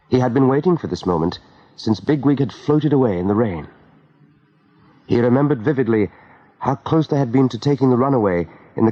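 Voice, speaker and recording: A man's voice: mid-range voice, dark tone, husky. A man narrating an animal saga as a storyteller in a scripted radio play. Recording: dull 1980s radio recording.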